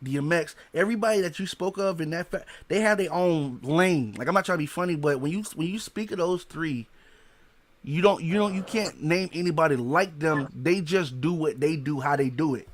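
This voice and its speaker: A man hosts a relaxed podcast interview with a slightly high voice. His talk is loose and full of slang and filler phrases. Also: moderate pace. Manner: laid-back slangy talk, many "you know what I'm saying" fillers. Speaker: podcast host. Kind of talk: casual interview.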